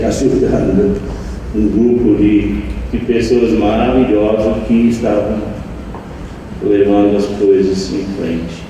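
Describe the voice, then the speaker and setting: An older man giving a speech with a very dark, mellow tone and a somewhat low voice. He talks slowly and haltingly, often stopping and restarting. He is heard from a distance in a very echoey hall with faint background noise. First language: not Portuguese. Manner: slow, halting, reflective, with many restarts. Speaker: meditation teacher and center leader. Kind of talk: anniversary speech to an audience.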